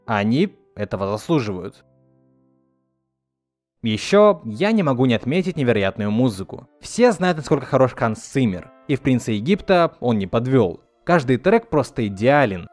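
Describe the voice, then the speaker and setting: A man's voice: medium pitch, a little mellow, dry recording. A man narrating a scripted video essay as a reviewer, speaking in an earnest, opinionated way. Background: background music.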